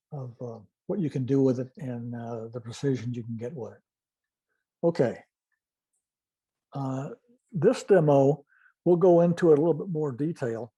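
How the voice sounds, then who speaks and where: An older man giving an informal technical presentation and demonstration, explaining methodically in a halting way. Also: mid-range voice, warm tone, slightly rough. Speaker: club presenter, retired-style veteran telephony engineer.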